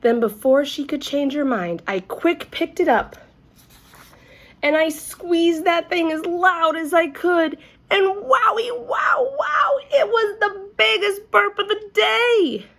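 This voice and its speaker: A woman voicing an excitable, earnest little girl telling her own story, with childlike, emphatic phrasing as in an animated storybook reading. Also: very high-pitched, slow, pitched above the performer's own voice, heavily acted. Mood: thrilled.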